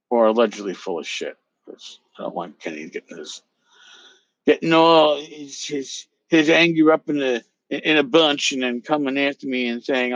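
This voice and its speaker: A man's voice: mid-range voice, no echo, low-quality recording. A man hosting a solo podcast in a casual, blunt, sarcastic and sometimes profane way. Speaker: podcast host. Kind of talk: casual solo podcast with dramatic reading aloud.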